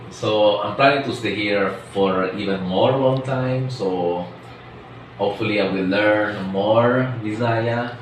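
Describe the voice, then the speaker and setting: Echoey, low-voiced man in his forties. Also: a bit bright. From Switzerland. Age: 40s. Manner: hesitant, searching for words. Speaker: interviewee, expatriate resident. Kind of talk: informal interview answers. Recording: echoey room, distant mic, faint noise.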